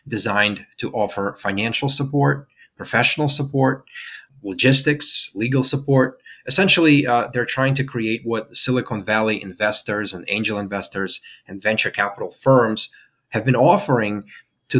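A man from Russia, a guest analyst, who answers interview questions in a deliberate, explanatory way. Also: medium pitch, fairly bright tone.

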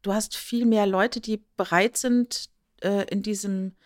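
A woman's voice: mid-range voice, steady tone, a little slow. A woman co-hosting a podcast in casual chat, explaining things in a chatty, lightly ironic way. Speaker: podcast co-host.

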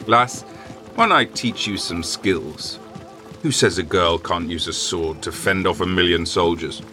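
A man's voice: deep voice, measured pace, sharp tone, faint music behind. A man voicing a grumpy, haughty old swordsman who talks down to everyone, in a storybook reading. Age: older. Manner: haughty, drawling, dismissive.

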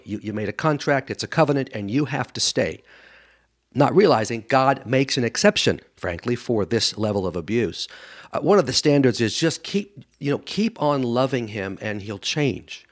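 A man speaking as a guest expert in an interview, answering conversationally in a blunt, emphatic way and acting out what others say. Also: medium pitch. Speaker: guest expert doctor and author on a radio show.

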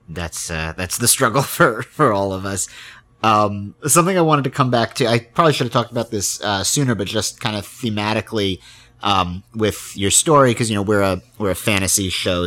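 A man's voice: lowish voice, a bit bright. A man hosting a casual interview, speaking in an informal, slightly hesitant and thoughtful way. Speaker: podcast host, interviewer.

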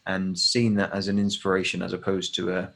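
A man's voice: deep voice, monotone, brisk, vocal fry, fairly bright tone. An English man in his thirties, a podcast guest talking casually and thoughtfully, with many fillers and restarts. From England. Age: 30s. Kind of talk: casual conversation.

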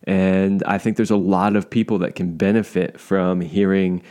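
Lower-voiced man co-hosting a podcast. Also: little pitch movement, moderate pace, warm tone. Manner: enthusiastic, rambling with restarts. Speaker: podcast co-host. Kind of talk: casual podcast interviewing.

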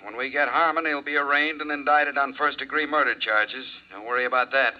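Actor as no-nonsense cop, mid pitch, old radio sound. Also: male voice, fairly even intonation, a little fast, close to an everyday voice. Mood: brusque. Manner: matter-of-fact, brusque. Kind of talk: 1950s radio drama acting. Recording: dull lo-fi old radio broadcast.

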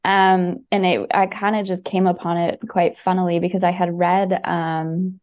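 A woman's voice: fairly low pitch, little pitch movement, normal speed, darkish tone. A woman chatting casually and enthusiastically on a podcast, with many fillers and restarts. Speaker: podcast guest recommending books. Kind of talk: casual chat.

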